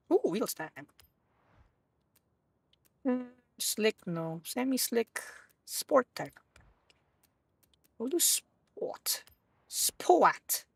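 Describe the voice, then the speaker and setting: A woman with a husky voice, hosting a live stream and rambling playfully while she plays. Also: on the high side, very animated, drawn-out words, pauses often, no room sound. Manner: rambling, self-correcting, playful. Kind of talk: casual live-stream chat while gaming.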